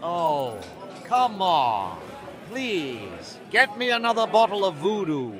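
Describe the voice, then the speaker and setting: Man as a high-pitched luckless scoundrel. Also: wildly swooping pitch, slow pace, over music, heavily acted. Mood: pleading. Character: down-on-his-luck scoundrel, pleading and self-excusing. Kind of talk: animated comic dialogue. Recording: bar crowd behind.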